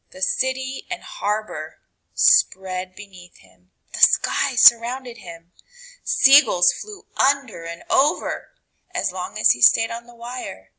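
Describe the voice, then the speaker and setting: A woman reading a children's picture book aloud in an expressive, dramatic way, with deliberate pauses and hushed phrase endings. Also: mid-range voice, slightly rough, rough recording.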